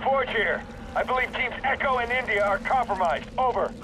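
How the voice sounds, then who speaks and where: A high-pitched, urgent soldier, reporting tersely in military radio style. It is game cinematic acting amid clear battlefield noise and gunfire. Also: male voice. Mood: tense. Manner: brisk, terse. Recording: noisy battlefield with gunfire, vehicles.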